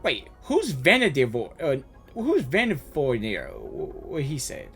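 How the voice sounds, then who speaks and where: A man giving casual live reaction commentary as a gaming streamer, halting and repeating himself. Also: mid-range voice, huge pitch swings, vocal fry, fairly bright tone, faint music behind. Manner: halting, repeats phrases while thinking aloud.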